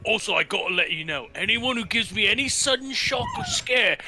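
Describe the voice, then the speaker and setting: A man's voice: sits high, lively intonation, fairly quick, vocal fry, rough recording. A man bantering casually and brashly as a player in a tabletop fantasy roleplaying game. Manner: brash, jokey. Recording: music behind.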